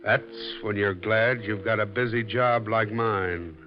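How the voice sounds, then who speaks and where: A man's voice: on the low side, fairly even intonation, measured pace, close to an everyday voice. An actor playing a wry, heavyset hard-boiled detective who narrates his own case in a 1940s radio drama. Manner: wry, easygoing first-person narration. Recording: dull lo-fi vintage radio recording with music.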